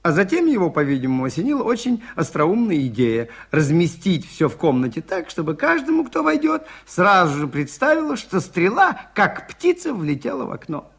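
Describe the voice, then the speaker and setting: Casting: shrewd, mild priest-detective, slightly high voice, measured and polite, expressive intonation, vintage radio play. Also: male voice, breathy edge, exaggerated voice. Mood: calm explaining. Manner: measured, polite, deliberate explaining. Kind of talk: 1980s Soviet radio drama acting.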